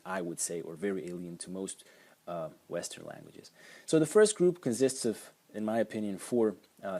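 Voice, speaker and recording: A man's voice: sits low, mellow. A young man with a Slovak accent, a native Slovak speaker, explaining sounds in a casual lesson with frequent hesitations. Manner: hesitant, with many ums and restarts. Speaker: language teacher.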